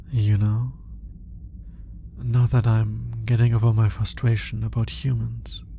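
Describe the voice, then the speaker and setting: A man playing an earnest, smitten alien scientist in an intimate roleplay audio drama, soft-spoken and hesitant, slipping into whispers. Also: mid-range voice, vocal fry, slight background noise. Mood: sheepish, hesitant.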